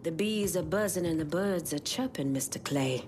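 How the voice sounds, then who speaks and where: An actress playing a composed, knowing Vodou shopkeeper who leads an underground resistance in a video game, speaking in a measured, conspiratorial way. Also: female voice, lowish voice, background noise, close to an everyday voice. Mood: sly, measured. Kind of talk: video game character dialogue.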